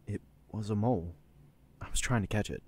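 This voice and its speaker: Flustered male mercenary, man acting: lowish voice, sheepish and deferential, evasive audio drama delivery. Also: fairly quick, mellow, slightly rough. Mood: sheepish, apologetic.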